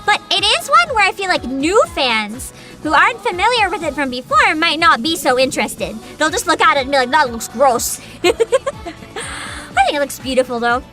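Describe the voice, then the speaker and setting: A woman's voice: high-pitched, sing-song, moderate pace, pushed and bright, soft background music. A woman hosting a livestream, chatting informally to her viewers and giving her opinions, often humming between thoughts. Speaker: livestream host.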